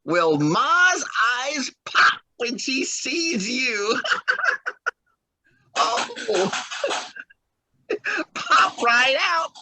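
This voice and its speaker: An actor playing an eager young husband in an old-time radio drama, bubbly and stammering. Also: male voice, very high-pitched, background noise, exaggerated voice.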